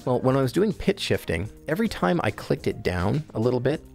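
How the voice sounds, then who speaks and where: A man narrating a software tutorial in a casual, informal, explanatory way. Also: mid-range voice, very animated, brisk, gravelly, faint music behind. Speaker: product tutorial presenter.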